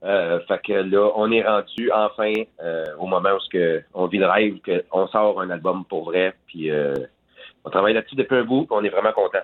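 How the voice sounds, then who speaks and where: A man, a guitarist speaking relaxed and informally as an interview guest. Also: deep voice, a little fast. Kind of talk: casual interview chat. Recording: tinny phone line.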